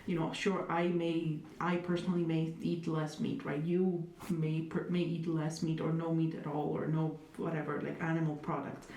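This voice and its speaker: A woman's voice: low-pitched, flat delivery, moderate pace, hardly any pauses, darkish tone. A young woman, speaking thoughtfully as an interviewee, with fillers and dry humor. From Italy. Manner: thoughtful, many hedges and fillers, wry humor. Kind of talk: reflective conversational interview. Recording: echoey room, distant mic, faint noise.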